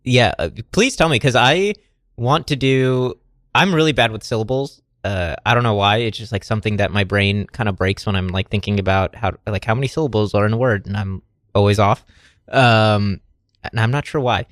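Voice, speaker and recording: Halting man, dry close sound. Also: fairly low pitch, fairly bright tone, no room sound. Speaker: podcast host. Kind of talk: casual chat.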